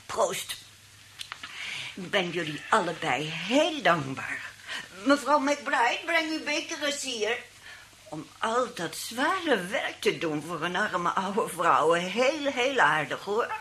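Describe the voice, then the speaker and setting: A woman's voice: mid-range voice, sing-song, slow, a bit bright, a little hiss or hum. An actress playing an elderly, sweetly demanding aunt who likes her wine, in a radio play. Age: older.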